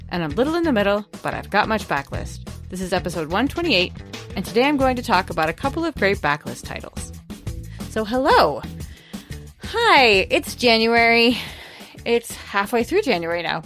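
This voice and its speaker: A woman's voice: mid-range voice, sing-song, normal speed, over music. A woman hosting a podcast, talking casually and chattily about books with playful, wry humor. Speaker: podcast host. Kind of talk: casual, chatty solo book talk.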